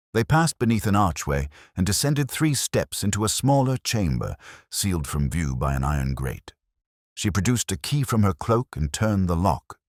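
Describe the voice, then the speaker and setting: A man's voice: rumbling low, dry recording, clean studio sound. A man reading a scripted mystery story as a dramatic narrator.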